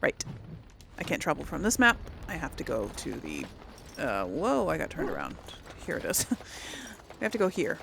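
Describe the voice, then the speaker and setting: A woman's voice: deep voice, wildly swooping pitch, moderate pace, gravelly, pauses often, fairly bright tone, a little hiss or hum. A woman giving casual, chatty let's play commentary, thinking aloud as she hosts a role-play gaming series.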